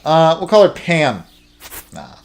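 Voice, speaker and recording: A man's voice: on the high side, very animated, takes it slowly. A young adult man telling a casual story while playing a video game, rambling and jokey, often sidetracking himself. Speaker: gaming video host. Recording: music behind the voice. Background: video game music.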